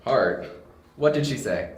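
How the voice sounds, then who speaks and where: A man's voice: mid-range voice, animated, slow pace. A man playing a detective's skeptical, plain-spoken companion in an amateur audio drama. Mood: concerned. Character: young detective's male companion, skeptical, straightforward sidekick. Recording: echoey room.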